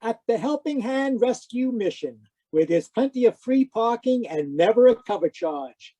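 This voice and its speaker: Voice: medium pitch, expressive, moderate pace, warm tone, dull audio, natural voice. Aktor memerankan detektif swasta jenaka yang percaya diri. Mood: teasing, wry. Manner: glib, florid wordplay, teasing. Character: wisecracking, cocky hard-boiled male private detective. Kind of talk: 1940s-50s radio detective acting.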